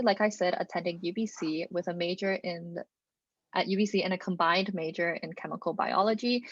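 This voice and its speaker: A young woman speaks on a video call, relaxed and chatty, filling her pauses with "um" and "like". Her voice sits at an ordinary pitch. The audio is dry and a little dull. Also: no echo. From Canada (Alberta). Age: young adult. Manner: casual, many fillers and "like". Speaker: student presenter. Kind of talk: online presentation talk. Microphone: computer mic on a video call.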